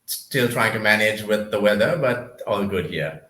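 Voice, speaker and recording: A man hosting an interview show, speaking in a halting way with many filler sounds as he introduces and questions his guest. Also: lowish voice, fairly bright tone. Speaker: podcast host. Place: Adelaide. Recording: roomy echo.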